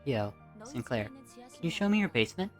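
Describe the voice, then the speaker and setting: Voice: medium pitch, sing-song, moderate pace, over music, lightly acted. An amateur narrator voicing a sly, bold, pushy teenage girl in a wheedling, taunting manner for a story. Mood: wheedling, casual.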